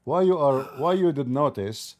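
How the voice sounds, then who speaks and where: A man's voice: on the high side, lively intonation, moderate pace, a little mellow. A middle-aged man, hosting a debate livestream, mocking and needling his guest with repeated pointed questions. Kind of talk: mocking, provocative debate talk.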